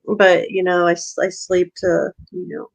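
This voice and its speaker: A woman's voice: lowish voice, flat delivery, unhurried, vocal fry. A woman co-hosting a casual vlog who rambles with lots of fillers, then reads a news story aloud. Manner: rambling, filler-heavy, squeamish. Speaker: co-host.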